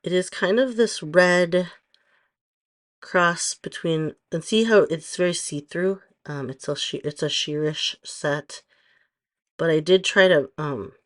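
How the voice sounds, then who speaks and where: A middle-aged woman with a hoarse voice, chatting casually through a nail tutorial and rambling with frequent pauses and ums. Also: on the low side. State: hoarse from allergies. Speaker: nail stylist vlogger.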